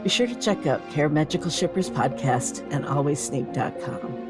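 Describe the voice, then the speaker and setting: A woman's voice: low voice, lively intonation, moderate pace, over loud music. A woman hosting a podcast in a casual interview style. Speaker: podcast host.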